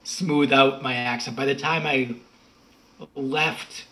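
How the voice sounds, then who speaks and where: Chatty man with a bright voice. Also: mid-range voice, fairly even intonation, slow, low-quality recording. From United States. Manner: rambling, self-correcting, chatty. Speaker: podcast guest. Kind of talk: casual interview talk.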